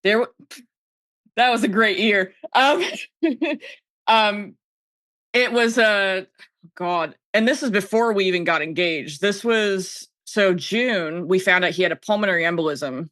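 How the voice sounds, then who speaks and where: A woman's voice: mid-range voice, unhurried, a bit bright, dry recording, clean studio sound. A woman from South Jersey with an American accent, chatting casually and telling personal stories, often breaking off and restarting her sentences. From South Jersey, United States. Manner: informal, frequent self-interruptions. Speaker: podcast guest, audio and video producer. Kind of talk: casual chat, personal storytelling.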